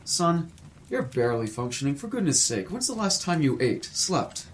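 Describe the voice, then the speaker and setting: A man acting a kindly, fretful college professor in an audio drama, expressive and fatherly. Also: fairly high pitch, moderate pace, slight background noise. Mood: worried, caring.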